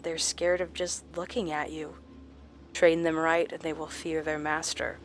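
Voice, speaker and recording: A woman voicing a sadistic male doctor who savors fear, speaking slowly and in a hushed, gloating way in a horror audio drama. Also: deep voice, level tone, slight background noise.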